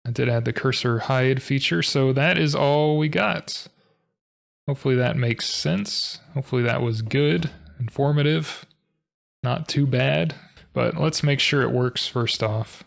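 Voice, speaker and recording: Monotone man with a gravelly edge. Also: measured pace. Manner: thinking aloud, filler-heavy, low-key. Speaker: programming tutorial host. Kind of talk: casual screen-recorded coding walkthrough.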